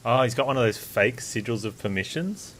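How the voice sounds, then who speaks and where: A man's voice: medium pitch, animated, brisk, a bit bright, slight background noise. A man giving casual, offhand gameplay commentary as a streamer, rambling a little over his mic. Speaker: game streamer.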